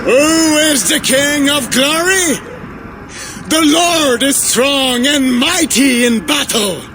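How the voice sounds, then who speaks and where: A man voicing a zealous male warrior priest who shouts scripture-laden war cries from the city walls. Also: very high-pitched, slow pace, noisy background. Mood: zealous defiance. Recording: game score behind, distant voice.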